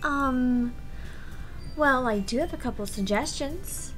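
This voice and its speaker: Visual novel schoolgirl, played by a woman: prim poet, highish voice, hesitant, stammers when rattled, faint music behind. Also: measured pace, a bit bright, poor audio. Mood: hesitant, apologetic. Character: prim, shy, easily flustered high-school girl poet. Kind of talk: visual novel voice-over read aloud.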